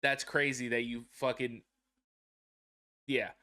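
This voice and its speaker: Young man, native Spanish speaker, podcast co-host, playful bragging banter, mid pitch, dry sound. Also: flat delivery, takes it slowly, sharp tone, dry recording. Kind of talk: casual chat.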